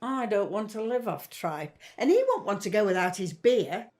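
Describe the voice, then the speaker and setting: A woman's voice: medium pitch, expressive, moderate pace, darkish tone, close to an everyday voice. An actress playing a fretful, grumbling middle-aged working-class mother in a 1950s family audio drama. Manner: grumbling, worrying.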